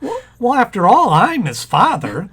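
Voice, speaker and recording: A man's voice: very high voice, huge pitch swings, normal speed, a bit bright, husky, heavily acted. A man playing a flustered, stammering, eager expectant father in an old-time radio comedy. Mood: excited. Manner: stammering, repeats words when flustered.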